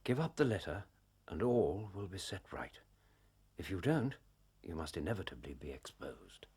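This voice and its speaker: Shrewd, polite gentleman sidekick, period drama: slightly rough voice, lively intonation. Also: low-pitched, normal speed, full character voice. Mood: earnest. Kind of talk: period detective drama acting.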